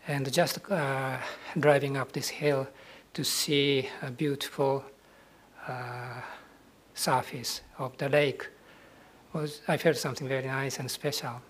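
A middle-aged man from Japan with a Japanese accent, a Buddhist monk giving a dharma talk at a ceremony in a soft, husky voice, pausing often and hesitating over his words. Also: medium pitch, little pitch movement. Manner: soft-spoken, hesitant, with many pauses and fillers.

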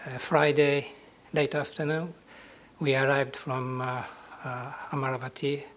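A middle-aged man from Japan with a Japanese accent, a Buddhist monk giving a dharma talk at a ceremony in a soft, husky voice, pausing often and hesitating over his words. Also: mid-range voice, level tone, slight background noise. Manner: soft-spoken, hesitant, with many pauses and fillers.

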